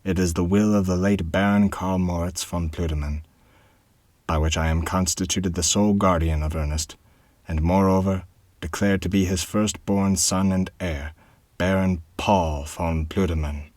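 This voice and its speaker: A man voicing a shrewd dwarf guardian in a storybook reading, calm, firm and menacing. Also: low-pitched, moderate pace, no echo, natural voice. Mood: formal.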